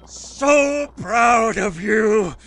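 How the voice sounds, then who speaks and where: A man's voice: high-pitched, animated, drawn-out words, vocal fry, exaggerated voice. A man voicing a short-tempered, pompous pirate captain who uses pirate slang.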